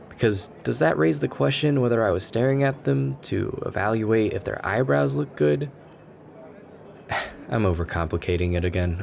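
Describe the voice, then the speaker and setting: A man playing a shy, anxious and gentle young stranger who rambles self-consciously in a soft ASMR roleplay. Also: sits low, creaky. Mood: rambling, pensive. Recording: background ambience noise. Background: coffee shop ambience.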